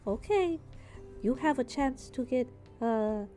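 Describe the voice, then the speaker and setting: A woman's voice: high-pitched, animated, slow, mellow. A woman acting as game master, casually narrating a tabletop game and explaining its rules. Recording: music behind the voice. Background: background music.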